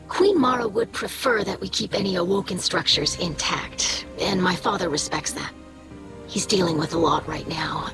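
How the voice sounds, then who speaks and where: A woman voicing an earnest, curious young alien scholar in a video game, with a gentle, tactful, slightly hesitant manner. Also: mid-range voice, close to an everyday voice. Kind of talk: scripted video game dialogue. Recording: music behind the voice. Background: game music.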